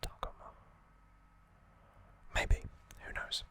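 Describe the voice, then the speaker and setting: A man's voice: takes it slowly, bright, forceful tone. Whispering man, ASMR host. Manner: informal, rambling, self-correcting. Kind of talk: whispered ASMR trivia recap.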